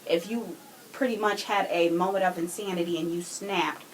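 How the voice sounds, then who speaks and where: A woman's voice: lowish voice, fairly even intonation, moderate pace, a little hiss or hum, low-quality recording. A woman co-hosting a podcast, giving her opinions in casual discussion. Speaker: podcast co-host.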